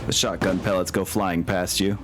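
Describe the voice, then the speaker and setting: A man's voice: low voice, flat delivery, normal speed. A man narrating a tabletop war game as game master, with casual asides, swearing and exclamations. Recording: music playing behind the voice. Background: music.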